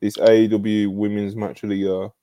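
A man's voice: fairly low pitch, little pitch movement, a little fast, dull audio, crowd noise. A man with a British accent hosting a casual podcast on a video call, reading out comments with a laid-back, laughing manner. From England. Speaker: podcast host. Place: home setup on a video call.

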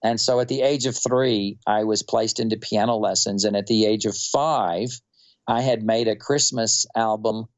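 A man from North Texas with a Texan accent, telling stories in a relaxed, unhurried interview with many fillers. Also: on the low side, highs cut off. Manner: unhurried, rambling storyteller with fillers. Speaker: quilter and musician guest.

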